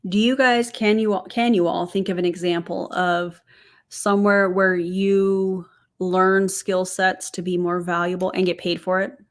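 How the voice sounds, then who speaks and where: A woman in her forties runs a reaction commentary. Her tone is sarcastic and incredulous, and she keeps asking her viewers questions directly. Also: medium pitch. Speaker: commentary host.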